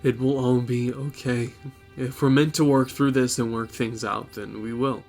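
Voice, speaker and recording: Man acting creaky-voiced young boyfriend, guilty, awkwardly comforting, audio drama, faint music behind. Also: mid-range voice, level tone, normal speed, darkish tone, lightly acted. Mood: reassuring. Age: young adult.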